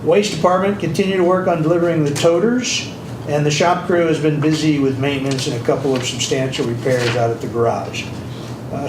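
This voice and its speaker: Man reading council reports plainly, slightly high, distant mic with room hiss. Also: reverberant. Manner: plain steady reading with filler pauses. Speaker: city council meeting leader. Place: council chamber.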